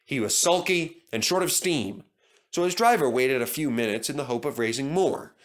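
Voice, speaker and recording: A man's voice: medium pitch, lively intonation, normal speed. A man reading a scripted children's story as narrator.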